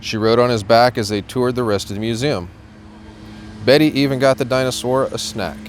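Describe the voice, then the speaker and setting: A man's voice: mid-range voice, moderate pace, slight background noise. A middle-aged American man reading a children's storybook aloud as a guest reader. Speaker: guest reader, police officer.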